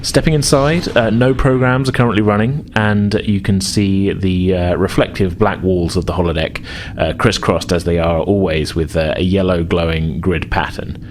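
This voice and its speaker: A man with a low voice narrates a tabletop game as game master. He improvises his descriptions in halting pieces, and there is clear noise behind him on the recording. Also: steady tone.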